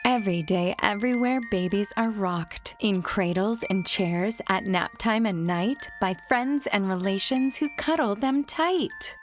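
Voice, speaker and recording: A woman's voice: mid-range voice, a little slow, hardly any pauses, soft background music. Woman reading a rhyming picture book. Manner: gentle, sing-song storytime delivery. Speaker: children's book reader.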